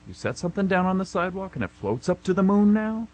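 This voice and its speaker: A man plays a gruff police officer in a radio drama, impatient and dryly sarcastic. His voice is raspy and medium in pitch, with animated swings as he needles his listener. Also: fast talker. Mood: sardonic, dismissive. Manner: sardonic, curt, unimpressed. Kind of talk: amateur radio drama acting.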